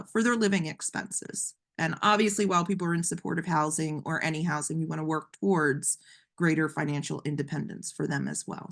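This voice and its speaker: Slightly hoarse woman presenting. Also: on the low side. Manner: explanatory, with frequent ums and restarts. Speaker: webinar presenter. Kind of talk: conversational webinar lecture.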